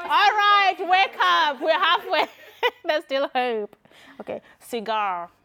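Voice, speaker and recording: A woman's voice: extremely high pitch, expressive, slow pace, pushed and bright. A woman with a Kenyan accent giving a conference talk in a church and running a word game with the audience, teasing and laughing often. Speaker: conference speaker. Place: church hall with live audience.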